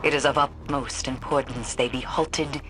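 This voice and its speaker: An actress playing a grim, haunted woman mystic in a video game, brooding, portentous and deliberate in manner. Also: rumbling low, steady tone, music in the background. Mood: grim, urgent. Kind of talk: dramatic video game acting.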